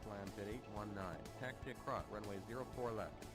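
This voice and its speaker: Low-voiced man playing a clipped air traffic radio operator. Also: brisk, close to an everyday voice. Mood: procedural, businesslike. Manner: clipped, procedural, unemotional. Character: businesslike male air traffic controllers and pilots. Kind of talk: clipped scripted radio phraseology. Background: loud music.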